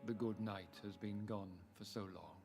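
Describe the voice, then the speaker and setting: Grandiloquent British vampire lord. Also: male voice, fairly low pitch, steady tone, vocal fry, darkish tone, faint music behind. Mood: courteous, imperious. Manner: formal, grandiloquent, measured. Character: ancient aristocratic male vampire lord, founder of a secret club. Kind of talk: scripted video game dialogue.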